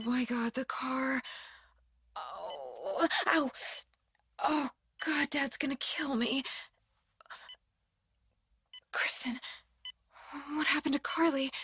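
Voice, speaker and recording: A frightened teenage girl mutters and gasps to herself in an audio drama. The voice is high, tense and bright, coming in slow, halting phrases full of panic. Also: husky, dry recording, heavily acted. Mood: shocked, groggy. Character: frightened teenage girl, newly blind car crash survivor. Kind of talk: naturalistic audio drama acting.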